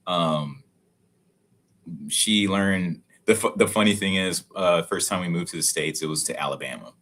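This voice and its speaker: A man's voice: low-pitched, moderate pace, fairly bright tone. A middle-aged man chatting casually as a guest on a livestream, laid-back and swearing now and then. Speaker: guest, card collector.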